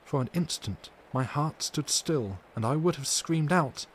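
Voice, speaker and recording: A man voicing a distressed but tender and brave young wife, recounting in an earnest, measured, formal way for an audiobook. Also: fairly high pitch, voice pushed up. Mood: troubled recounting. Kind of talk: dramatic audiobook character reading. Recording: steady background noise.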